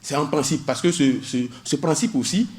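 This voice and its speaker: A man's voice: on the high side, animated, normal speed. A man, a doctoral student in public law, explaining asylum law on a radio show with many hesitations and cited articles. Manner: hesitant, many fillers, cites legal articles.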